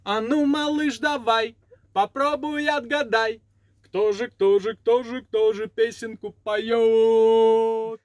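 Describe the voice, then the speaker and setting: A man's voice: very high-pitched, steady tone, takes it slowly, pauses often, dry recording. A man voicing a cheerful young blue tractor, singing a children's cartoon song. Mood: cheerful, playful. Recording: music along with the voice. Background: children's song music.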